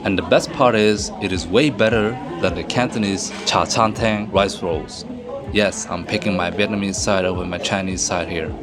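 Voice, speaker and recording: A man's voice: low-pitched, normal speed. A man from Sweden narrating a travel vlog in a playful, joking manner. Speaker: travel vlogger. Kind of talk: voice-over narration. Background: faint music.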